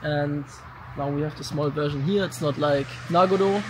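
A man's voice: a little high, moderate pace. A young man from Germany chatting on a road trip, laughing often as he tells stories. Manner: laughs often, animated storytelling. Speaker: travel companion. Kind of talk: casual chat. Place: in a car and outdoors. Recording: loud background noise.

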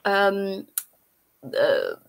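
A woman's voice: mid-range voice, huge pitch swings, drawn-out words, gravelly. Woman explaining on a video call. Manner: thoughtful, explanatory, hesitant fillers. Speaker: guest researcher. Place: home study with bookshelves. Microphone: earphone mic on video call.

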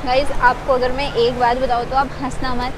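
A young woman talks in a bright, high voice, playful and teasing as she vlogs her trip. She is recorded outdoors on a phone camera. Steady outdoor noise runs under her voice. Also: moderate pace. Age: young adult. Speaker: vlogger. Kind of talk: casual travel vlog chat. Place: outdoors by a misty hill lake.

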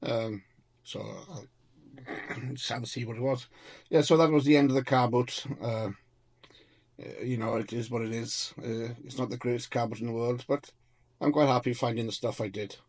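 A British man vlogging a bargain hunt, thinking aloud in a casual, low-key, matter-of-fact way. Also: fairly even intonation, normal speed, darkish tone. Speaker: vlogger hunting for bargains to resell. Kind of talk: casual muttered commentary to himself while browsing. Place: car park outdoors, then large charity warehouse shop. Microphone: body-worn action camera. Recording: noisy action-camera audio, shop and street ambience.

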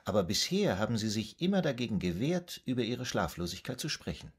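A patient, methodical psychoanalyst in a period radio drama. His man's voice is low-pitched and formal. He questions and sums up in a calm, measured way. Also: breathy edge. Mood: measured, probing. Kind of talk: German radio drama acting.

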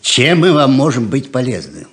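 A man's voice: mid-range voice, sing-song, normal speed, natural voice. An actor playing an earnest, worried farmer father in a 1970s Soviet radio play. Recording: roomy, echoing radio studio sound.

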